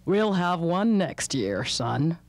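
A woman voicing a calm, kindly father giving gentle instruction in a children's storybook. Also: on the low side, slow, dry recording, full character voice. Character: calm, kindly adult father of a young boy.

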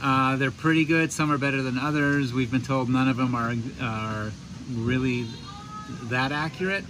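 Man, fifties, laid-back sailing vlogger explaining deck chores to camera; loose, chatty delivery full of fillers; outdoor marina take with clear wind and harbor noise. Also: mid-range voice, normal speed. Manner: relaxed, chatty, many ums and restarts. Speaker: sailing vlog host. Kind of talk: casual how-to explanation.

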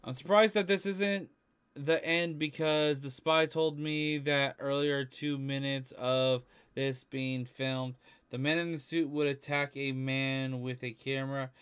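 Clear-voiced young man reacting casually. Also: medium pitch, steady tone, a little slow, a bit bright. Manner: informal, mild swearing. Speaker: reaction video host. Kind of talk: casual live reaction commentary, some reading aloud. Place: small indoor room at home.

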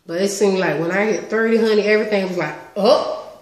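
A woman in her thirties chatting casually on a home vlog, animated and talkative, recorded on a webcam in her living room. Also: medium pitch, brisk, reverberant. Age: 30s. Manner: chatty, animated with lots of hand gestures. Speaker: vlogger. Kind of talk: casual story-time vlog. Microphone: webcam close up.

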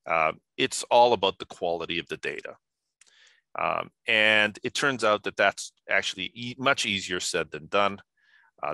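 Older guy with a really low bright voice, lots of uhs and ums, dry webcam sound. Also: no echo. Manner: halting, many filler sounds. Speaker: conference speaker. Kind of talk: video call keynote talk. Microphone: video call webcam.

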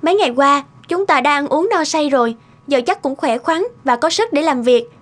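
A woman's voice: high voice, animated, normal speed. A woman voicing a poor, earnest, polite young village girl in a cartoon folk tale. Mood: confident, polite.

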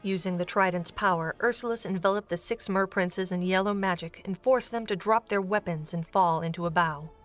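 A woman's voice: on the low side, fairly quick, hardly any pauses. A woman narrating a scripted dramatic story as the narrator of an audio drama. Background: music.

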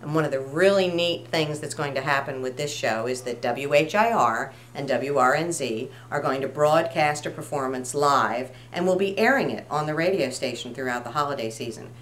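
A woman's voice: a bass voice, normal speed, few pauses, fairly bright tone. A middle-aged woman giving a promotional talk to camera in an indoor room. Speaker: community theater representative. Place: indoor room, camera a few feet away. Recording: noticeable hum and noise.

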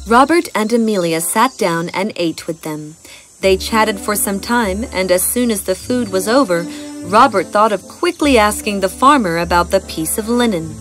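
A woman's voice: medium pitch, animated, normal speed. A woman reading a scripted fairy tale as a storyteller. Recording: music bed behind the narration.

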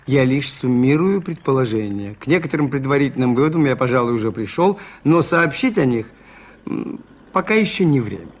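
A man's voice: medium pitch, lively intonation, a little fast, slight background noise, natural voice. A man playing a mild, shrewd priest detective in a radio play, speaking politely and hesitantly as he muses. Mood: reserved, polite. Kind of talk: 1980s radio play acting.

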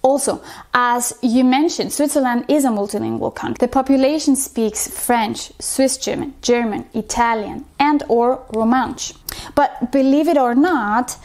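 Higher-voiced woman from Ecuador, explaining. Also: unhurried, darkish tone. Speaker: host of a multilingual parenting channel. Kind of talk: explanatory question-and-answer to camera. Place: small indoor room, plain wall.

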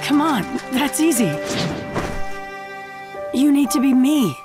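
A woman's voice: sits high, animated, takes it slowly. A woman voicing a brash, earnest teenage ninja boy in an anime video game, with a scratchy, boyish voice. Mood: reassuring. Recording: music behind the voice. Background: game music.

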